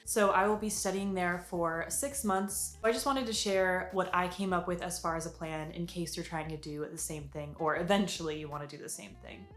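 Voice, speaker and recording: A woman's voice: fairly low pitch, fairly even intonation, moderate pace, hardly any pauses, fairly bright tone, reverberant. A young American woman chatting upbeat and casual in an advice vlog, with lots of fillers. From United States. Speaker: vlogger.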